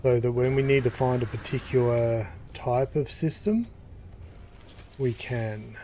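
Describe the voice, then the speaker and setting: A man giving casual commentary as he plays a game, relaxed and thinking aloud. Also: medium pitch, a little slow, a little hiss or hum. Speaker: gaming YouTuber.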